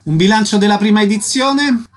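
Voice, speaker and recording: Radio host, man with a fairly high voice, short direct questions. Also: fairly quick. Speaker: radio interviewer.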